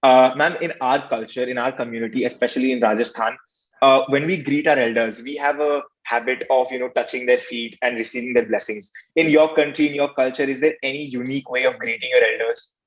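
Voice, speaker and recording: A polite teenage man from India asks formal interview questions, stopping often on "uh". His voice is at a middling pitch. The recording sounds dull and lo-fi. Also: steady tone, fairly quick. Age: teens. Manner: polite, formal, with many "uh" fillers. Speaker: student interviewer.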